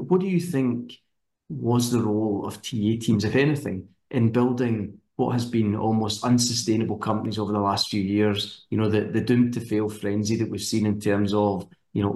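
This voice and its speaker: Low-voiced podcast host man, echoey room. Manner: polite, scripted intro then relaxed questions. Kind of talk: podcast interview hosting.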